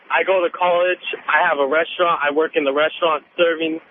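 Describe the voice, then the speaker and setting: A young American man of nineteen rambles casually as a radio caller, cocky and emphatic. His fairly high voice comes through a thin phone line with light noise. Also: fairly even intonation, fairly quick. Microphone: telephone.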